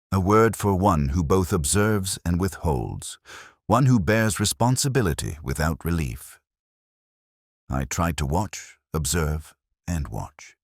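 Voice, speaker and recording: A man narrating a first-person detective story in a measured, solemn, literary manner. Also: a bass voice, dry recording, studio quality.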